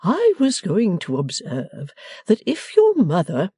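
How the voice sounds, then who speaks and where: A woman's voice: fairly high pitch, big pitch swings, a little slow, warm tone, breathy edge, dry recording, studio quality, pitched above the performer's own voice, exaggerated voice. A woman voicing a meek, submissive middle-aged father in a storybook, faltering and placating. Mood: craven, faltering.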